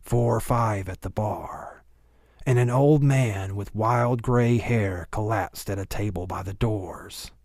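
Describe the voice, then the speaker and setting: A man's voice: lowish voice, takes it slowly, a little mellow, no room sound, clean studio sound. A man narrating a novel as a scripted audiobook reading.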